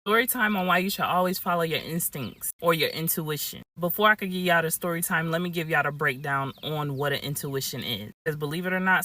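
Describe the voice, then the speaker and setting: A man with a fairly high, bright, sharp voice talks casually and straight to his viewers, explaining an idea before he starts a story. He is recorded from some way off the mic, with faint noise in the background. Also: distant mic.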